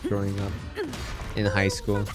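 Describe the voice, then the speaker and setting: A man's voice: lowish voice, monotone, unhurried. A young man chatting casually on a game livestream in a friendly, laid-back, talkative way. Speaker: game streamer. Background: game music.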